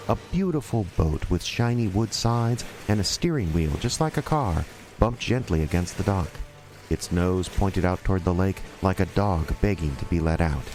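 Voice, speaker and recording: A man acting as a wistful, wry storyteller, narrating a childhood adventure for a radio drama in a folksy, measured way. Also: sits low, darkish tone. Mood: wry, lighthearted. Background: music.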